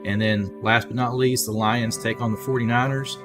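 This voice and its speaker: A man's voice: medium pitch, fairly even intonation, normal speed. A man presenting a short, scripted sports prediction video to his viewers. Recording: faint guitar music behind. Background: light guitar music.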